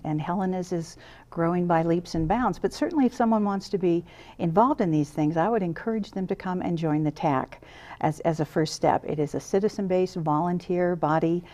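A woman's voice: on the low side, moderate pace, a little mellow. A woman in a studio interview, speaking earnestly as a guest on a lapel mic, with frequent restarts and fillers. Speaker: interview guest, council director. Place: small TV studio set, seated.

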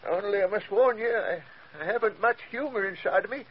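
An actor playing a meek, grateful old tramp, halting and humble, in 1940s radio style. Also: male voice, sits high, lightly acted. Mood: humbly grateful. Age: older. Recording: dull, noisy 1940s radio recording.

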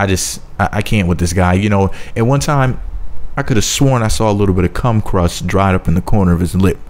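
A man's voice: low voice, a little mellow. A man giving casual, sarcastic celebrity gossip commentary in a mocking, profane rant. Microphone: voice-over.

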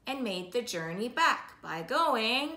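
A woman tells a children's story with big swoops in pitch and a slow, sing-song rhythm. Her voice is bright and clearly projected, with some room echo around it. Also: big pitch swings. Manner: slow, sing-song, repetitive rhythmic phrasing with drawn-out words. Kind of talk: animated oral storytelling for young children. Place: living room, indoors. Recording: roomy indoor echo.

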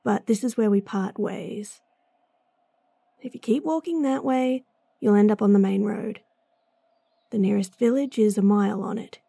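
A woman voicing a gruff, impatient female bounty hunter in a fantasy audio drama, blunt and sardonic, sighing often. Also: medium pitch, moderate pace, pauses often, dark tone, dry recording, clean studio sound. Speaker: voice actress.

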